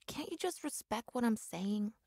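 A woman's voice: on the high side, animated, normal speed, mellow, breathy edge, dry recording, studio quality. A woman playing a reluctant, sullen young daughter in a radio drama. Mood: annoyed, sleepy.

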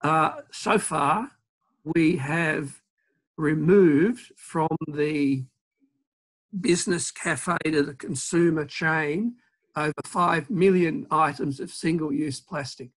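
A man, answering panel questions on a video call in a measured way with many pauses. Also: on the high side, a bit bright, low-quality recording. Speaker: environmental campaigner, panelist. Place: home office, indoors. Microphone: video call webcam.

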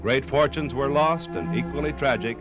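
A man narrating a scripted 1950s radio documentary in a measured, solemn storytelling manner. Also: mid-range voice. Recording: 1950s vinyl radio recording, music underneath.

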